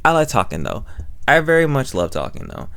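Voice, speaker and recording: A man's voice: medium pitch, wildly swooping pitch, brisk, vocal fry, fairly bright tone. A man giving a casual, slangy review commentary, rambling, repeating his phrases and correcting himself as he goes. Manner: slangy, informal. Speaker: review channel host.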